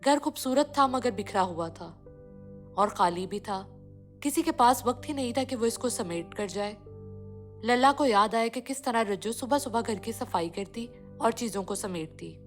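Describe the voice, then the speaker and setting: A woman's voice: on the high side, lively intonation, moderate pace. Woman narrating children's story over music.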